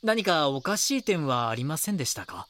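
Earnest young male reporter, animated delivery. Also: on the high side, fairly quick. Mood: polite, probing. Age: young adult. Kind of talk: audio drama acting with inner monologue.

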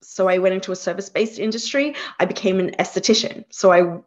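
A woman's voice: medium pitch, quick pace, lo-fi sound. A woman with an Australian accent, a career coach speaking as an interview guest, reflective and thinking aloud. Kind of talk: conversational interview.

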